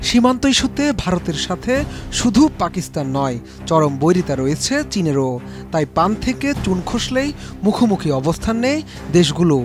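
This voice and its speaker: A man's voice: sits high, sing-song, moderate pace. A man with a Bangladeshi accent, a news reporter reading a scripted voice-over report. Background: background music.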